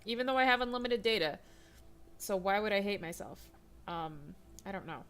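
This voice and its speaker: A young woman gaming vlogger chats while she plays, tired yet excitable and quick to poke fun at herself. Her voice is bright and forward. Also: mid-range voice. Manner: excitable, chatty, self-deprecating. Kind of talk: casual let's-play chat.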